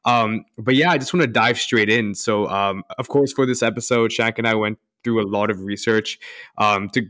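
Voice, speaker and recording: Young man, lowish voice, dry close sound. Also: moderate pace, fairly bright tone, no room sound. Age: 20s. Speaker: podcast co-host. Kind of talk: conversational podcast interviewing.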